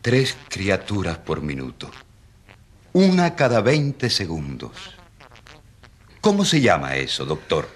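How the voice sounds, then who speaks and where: A man's voice: low-pitched, wildly swooping pitch, slow, pauses often, heavily acted. A man playing a defiant, impassioned rural priest on trial in a radio drama, rhetorical and challenging. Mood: grave, indignant. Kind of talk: Spanish-language radio drama acting.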